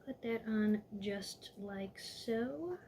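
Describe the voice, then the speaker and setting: Slightly hoarse woman, gravelly and mellow. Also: medium pitch, very slow, slight background noise. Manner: calm, instructive, talks to viewers as she builds. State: losing her voice, a bit hoarse. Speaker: toy reviewer building a set. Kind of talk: step-by-step build walkthrough.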